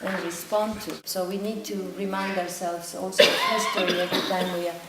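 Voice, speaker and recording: A woman's voice: medium pitch, wildly swooping pitch, fairly quick. A woman speaking on a panel in a thoughtful, searching way, with restarts. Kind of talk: reflective talk in a discussion. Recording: echoey room, distant mic, noise.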